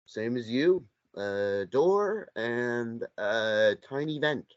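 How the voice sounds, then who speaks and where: A man's voice: medium pitch, expressive, extremely slow. Needling sidekick, read by a man: dry, blunt, confrontational, very varied intonation, slightly lo-fi sound. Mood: dry, sardonic. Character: blunt, sardonic companion who needles a captive. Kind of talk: script table read. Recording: dull lo-fi sound.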